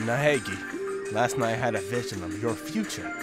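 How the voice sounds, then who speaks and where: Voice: medium pitch, lively intonation, normal speed, over loud music, voice pushed down, natural voice. A gamer reading as a pushy, cheerful fortune-teller boy. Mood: coaxing, upbeat.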